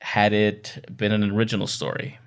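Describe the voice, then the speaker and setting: A man's voice: lowish voice, completely flat delivery, moderate pace. A man co-hosting a podcast, chatting casually about books. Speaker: podcast co-host. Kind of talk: casual book discussion podcast.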